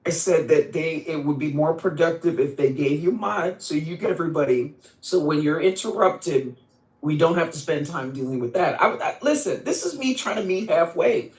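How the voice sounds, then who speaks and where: Male chat participant, high pitch, casual and argumentative, echoey connection. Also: lively intonation, moderate pace, darkish tone. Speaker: participant in a group audio room. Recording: echoey online group audio.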